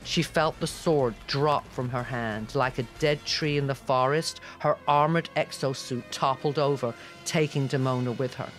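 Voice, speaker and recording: A man's voice: medium pitch, steady tone, moderate pace, hardly any pauses, fairly bright tone, faint music behind, poor audio. A man reading scripted fantasy narration in a dramatic audiobook style.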